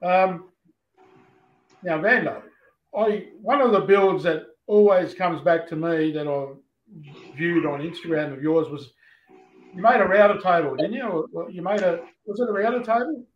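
A man's voice: high voice, moderate pace, lo-fi sound. Middle-aged man, Australian accent, casual host, roomy sound, noticeable background noise. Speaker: podcast host. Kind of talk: casual panel chat. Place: small indoor workshop on a video call. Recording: roomy, noisy workshop video-call audio.

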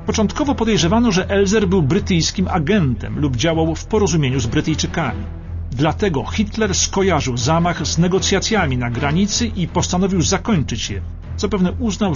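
Higher-pitched male history radio narrator. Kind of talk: scripted dramatic documentary narration. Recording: slightly dull radio broadcast, music behind. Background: background music.

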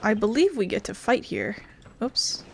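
A woman's voice: mid-range voice, animated, moderate pace, gravelly. A woman hosting a gaming video with casual let's play commentary, sometimes reading text aloud. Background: video game music.

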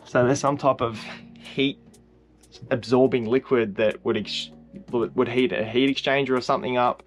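A young Australian man chatting in a relaxed, laid-back way as he explains parts of the caravan. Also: medium pitch, normal speed. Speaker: vlogger, co-host. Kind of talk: casual chat. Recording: faint music under the talk.

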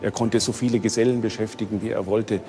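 A man explaining as an expert in an unscripted documentary interview, with hesitant, measured delivery. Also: lowish voice, level tone, mellow, soft background music. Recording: long hall-like reverb.

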